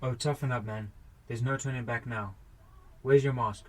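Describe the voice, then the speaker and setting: A man's voice: medium pitch, fairly even intonation, moderate pace, close to an everyday voice, low-quality recording. A man acting a bossy, scheming burglar in an amateur radio drama. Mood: urgent, bossy.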